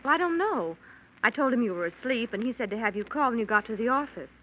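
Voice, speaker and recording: A woman acting a fond, chatty wife in a vintage radio mystery. Her mid-pitched voice moves up and down expressively. The recording is muffled with some hiss. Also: expressive, fast, close to an everyday voice. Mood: puzzled. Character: affectionate, chatty, well-bred wife.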